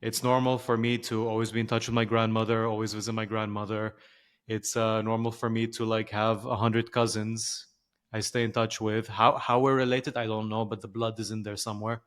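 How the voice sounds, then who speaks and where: A man's voice: fairly low pitch, little pitch movement, a little fast, fairly bright tone, clean tone. A man in his twenties from Lebanon and Dubai, a podcast guest talking casually and reflectively with plenty of filler words. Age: 20s. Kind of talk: casual conversation.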